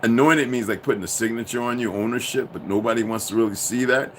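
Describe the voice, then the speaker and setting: A man's voice: medium pitch, fast talker, slight background noise. A man delivering a profane, preachy, insistent monologue to his viewers. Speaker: commentator.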